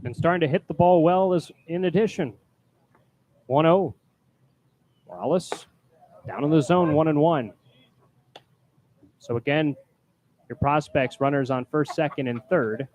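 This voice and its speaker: A man calling live baseball play-by-play from a booth as announcer, projecting loudly on the calls. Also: fairly high pitch, unhurried, pauses often. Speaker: baseball play-by-play announcer, also doing public address. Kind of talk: live play-by-play sports commentary. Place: press booth at a ballpark. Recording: clear background noise.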